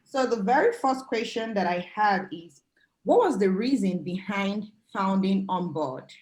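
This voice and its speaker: A woman's voice: mid-range voice, expressive, unhurried, darkish tone. Young woman hosting a panel, friendly and chatty, voice bouncing around an echoey room. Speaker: interview host. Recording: roomy echo.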